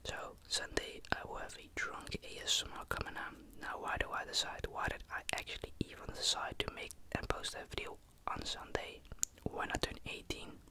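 A man's voice: moderate pace, hardly any pauses. A teenage man, whispering a rambling, casual chat into a close studio mic in a small bedroom. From Netherlands. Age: teens. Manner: casual, rambling, with many fillers. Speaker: ASMR YouTuber. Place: small bedroom with a sloped ceiling. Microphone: large studio mic close on the desk.

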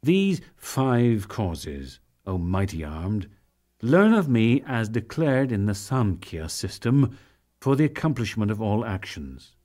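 A man narrating a sacred text as a dramatic, formal reading, solemn and measured in his declamation. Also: on the low side, sing-song, a little mellow.

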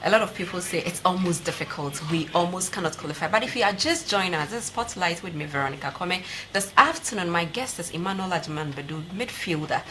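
A woman with a Ghanaian accent presents a sports interview in a bright, forward voice. She speaks as a TV host, putting questions from the studio desk, with clear noise on the recording. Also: lowish voice, expressive, fast, few pauses.

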